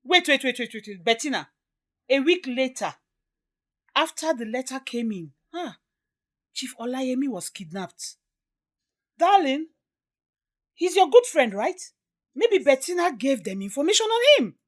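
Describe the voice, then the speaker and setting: An actress plays a haughty, scornful woman in an audio drama. Her voice sits high and swings widely in pitch, with emphatic delivery and scoffing asides. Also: sing-song, a little slow, pauses often, full character voice. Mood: scornful, accusing.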